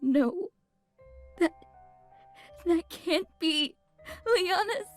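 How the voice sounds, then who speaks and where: A woman playing a timid, distraught schoolgirl in a horror game, pleading in a halting, faltering way. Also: very high-pitched, lively intonation, faint music behind, exaggerated voice. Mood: distraught denial. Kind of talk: dramatic visual novel voice acting.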